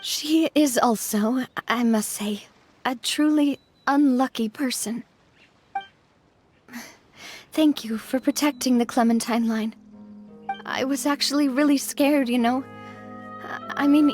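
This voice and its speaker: A young female boss from a video game story, her voice high and her delivery slow. She is earnest and deliberate, kind yet resolute, and carries a wistful weariness. Music plays behind her. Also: darkish tone. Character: kind, resolute young woman leading an organization, weary and wistful. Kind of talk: dramatic video game voice acting.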